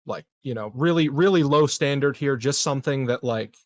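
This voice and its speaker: A man playing a tabletop game, talking casually and informally in halting phrases. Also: mid-range voice, no echo. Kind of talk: casual chat.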